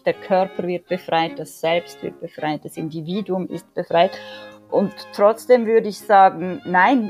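A woman with a Swiss accent, speaking as an expert interview guest and explaining things conversationally. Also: fairly low pitch. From Switzerland.

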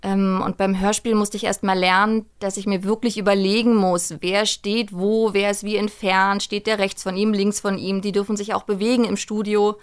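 A woman's voice: mid-range voice, flat delivery, moderate pace, hardly any pauses, smooth. A woman chatting casually and in colloquial detail as a director and interview guest. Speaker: radio play director and voice actress, interview guest. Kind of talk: casual studio interview chat.

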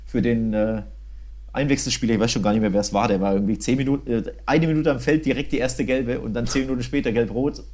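A man hosting a football podcast, talking casually and colloquially with clear opinions. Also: mid-range voice, quick pace, a little mellow. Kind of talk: casual sports discussion.

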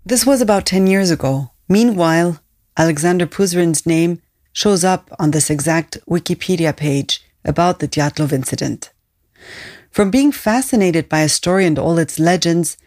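A woman hosting a podcast, telling a story in calm, scripted narration. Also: lowish voice, moderate pace. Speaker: podcast host.

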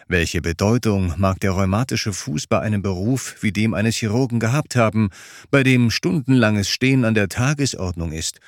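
A man narrating a scripted documentary story in a measured, clearly articulated way. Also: on the low side, dry recording, studio quality.

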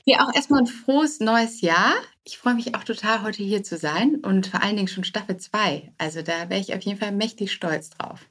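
A woman in her thirties speaks as a guest on a podcast. Her medium-pitched voice carries a casual, conversational story, informally sprinkled with English business terms. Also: normal speed, few pauses, fairly bright tone. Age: 30s. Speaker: podcast guest, startup founder.

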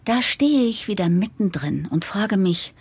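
A woman's voice: mid-range voice, expressive, unhurried, a little mellow, breathy edge, no room sound, heavily acted. A woman playing a harried, idealistic new managing director who narrates an audio drama in a wry, confiding way. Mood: wry, weary. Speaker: narrator and lead character.

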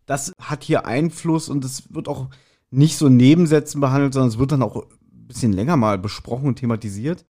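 A man speaks at a middling pitch in a casual podcast discussion. He explains things in a colloquial way, as a co-host would, and often looks for agreement from the other person. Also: brisk, mellow.

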